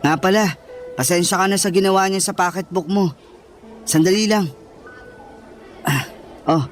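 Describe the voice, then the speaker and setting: A man's voice: sits high, moderate pace, faint music behind, close to an everyday voice. A man playing a principled, protective young boyfriend in a Filipino radio drama, earnest and reassuring. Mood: earnest, kind.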